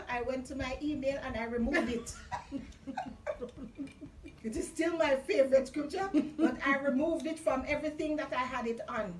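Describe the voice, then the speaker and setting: Caribbean lady w a high voice chatting, emphatic, repeats herself, echoey room. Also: distant mic. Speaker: vlog co-host. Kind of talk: casual chat, storytelling. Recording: roomy echo from off mic, music behind. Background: music.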